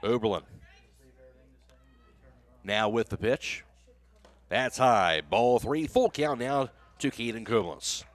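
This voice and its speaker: A man's voice: mid-range voice, slow, pauses often. An American man calling a baseball game live as a radio play-by-play announcer, in clipped phrases that follow the action.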